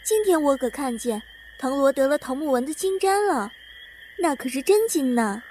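A woman's voice: sits high, animated, moderate pace, darkish tone, slight background noise. A woman acting a chatty, boastful young maidservant in a Chinese period audio drama. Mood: showing off.

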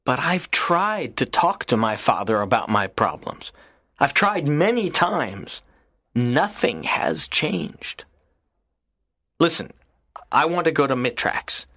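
An actor playing a lonely, earnest teenage schoolboy in an audiobook, talking in a soft, low, pleading way. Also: male voice, sing-song, slow pace, dry recording, clean studio sound, exaggerated voice. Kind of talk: audiobook character reading.

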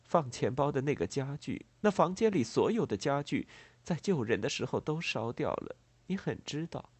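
A man voicing a composed, proud, tender gentlewoman in a storybook, speaking in a measured, earnest and formal way. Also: medium pitch, sing-song, breathy edge, dry recording, voice pushed up. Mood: earnest, firm.

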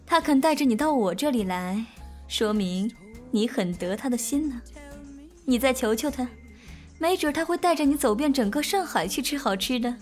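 A flirtatious restaurateur in a radio drama. She sounds teasing and knowing, in a fairly high voice. Light music plays behind her. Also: expressive, moderate pace. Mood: playful. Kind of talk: Mandarin audio drama acting.

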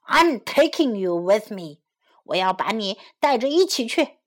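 A woman's voice: mid-range voice, very animated, a little slow. A woman voicing a sly, hungry male fox in a children's story, with a snarling, emphatic delivery. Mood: bossy, hungry.

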